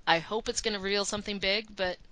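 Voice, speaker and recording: A woman from Texas with a mild Texan accent, co-hosting a casual podcast, chatty and quick to laugh. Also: medium pitch, poor audio. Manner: chatty, laughs often, animated asides. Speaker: podcast co-host.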